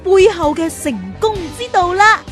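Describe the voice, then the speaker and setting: A woman playing a friendly, chatty adult guide to children in a radio drama, with an animated storytelling delivery. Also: extremely high pitch, moderate pace, soft background music. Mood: encouraging.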